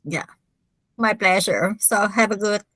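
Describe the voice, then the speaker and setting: A woman's voice: medium pitch, measured pace, sharp tone, breathy edge, no echo. A woman attendee giving brief, friendly replies on a video call. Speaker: meeting attendee. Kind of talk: casual closing chat.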